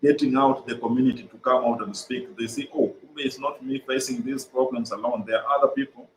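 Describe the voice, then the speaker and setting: A young Kenyan man whose first language is Swahili, earnestly explaining his organization's programs over a video call, with frequent "uh" pauses. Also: medium pitch, steady tone, reverberant, slight background noise, low-quality recording. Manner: earnest, many "uh" pauses, repeats phrases. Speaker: guest, mental health organization co-founder.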